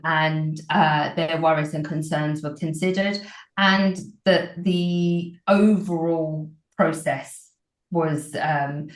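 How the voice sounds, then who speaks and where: Earnest businesswoman, 40s, slightly low voice, roomy recording. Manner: earnest, many ums and restarts. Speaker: real estate agency owner and broker. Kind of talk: interview answers. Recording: roomy echo.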